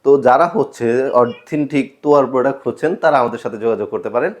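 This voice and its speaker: A man's voice: medium pitch, quick pace, darkish tone. A man with a Bangladeshi accent giving an informal, explanatory product showcase to viewers as a shop presenter, often repeating his points. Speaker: shop presenter selling sound equipment.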